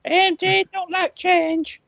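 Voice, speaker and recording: A woman's voice: very high voice, little pitch movement, slow, creaky, a bit bright, no echo, lo-fi sound. A woman co-hosting a comedy podcast, teasing and chatty as she keeps score. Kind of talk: comedy quiz chat.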